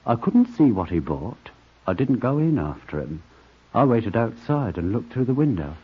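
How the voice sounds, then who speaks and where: Husky, deferential manservant reporting. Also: male voice, medium pitch, very animated, normal speed. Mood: dutiful reporting. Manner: respectful, explanatory. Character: dutiful, deferential man reporting to his employer. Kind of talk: 1940s radio acting. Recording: muffled vintage radio recording.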